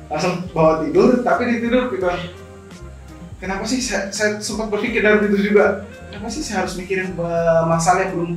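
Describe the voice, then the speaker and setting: A man's voice: high-pitched, fairly quick. A young man, explaining things conversationally as an interview guest and often repeating his phrases. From Indonesia. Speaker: guest, scholarship alumnus. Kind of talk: informal talk show interview, storytelling. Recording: very echoey room, distant mic, music behind.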